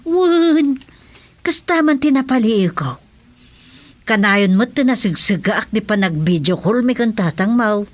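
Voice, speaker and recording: A woman's voice: on the high side, lively intonation, measured pace. Woman acting a gossipy Ilocano village mother. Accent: Ilocano Filipino. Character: gossipy middle-aged village mother missing her husband. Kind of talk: Ilocano radio comedy drama acting.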